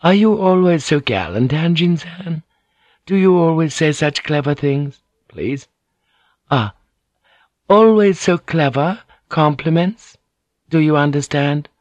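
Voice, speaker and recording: A man's voice: fairly high pitch, animated, slow pace, no room sound, muffled recording, pitched above the performer's own voice. A man voicing Ochiba, a noble Japanese lady, silky and teasing, in an audiobook reading. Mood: flirtatious.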